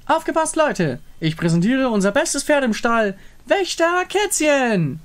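Ein Mann spricht eine verspielte, herrische junge Frau in einem Fantasyspiel. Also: male voice, very high-pitched, very animated, unhurried, voice pushed up, heavily acted. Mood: proud.

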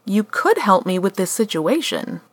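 A woman's voice: mid-range voice, sing-song, measured pace, dry recording. A woman playing a doubtful, deferential assistant to a god, hesitant and resigned, in a comic radio play.

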